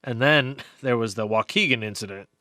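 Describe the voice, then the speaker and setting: A man's voice: medium pitch, a little fast, sharp tone, dry recording, close to an everyday voice. A man playing an insistent, knowing research biologist in an audio drama, explaining things emphatically.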